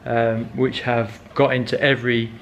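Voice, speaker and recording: A man's voice: mid-range voice, flat delivery, moderate pace, highs cut off, slight background noise. A young man chatting casually in a car vlog, rambling with many ums and correcting himself as he goes. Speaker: car vlogger.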